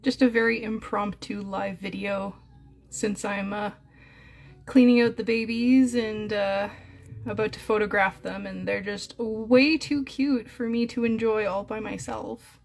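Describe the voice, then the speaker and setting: A woman's voice: mid-range voice, steady tone, measured pace, gravelly. A young woman with a Canadian accent chatting in a casual live stream, full of fillers and coos. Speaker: hamster breeder streaming live.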